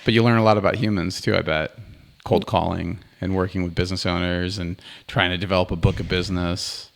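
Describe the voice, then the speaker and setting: Fast-talking man, lowish voice. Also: little pitch movement, creaky. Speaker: podcast host. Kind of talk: casual conversational interviewing.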